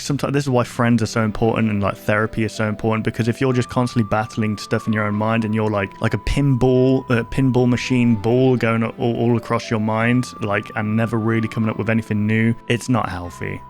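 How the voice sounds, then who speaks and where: A man giving casual reaction commentary as a video host, thinking aloud informally and often posing questions. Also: lowish voice. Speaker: YouTube host reacting to viewer-recommended horror videos. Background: prominent music behind the voice.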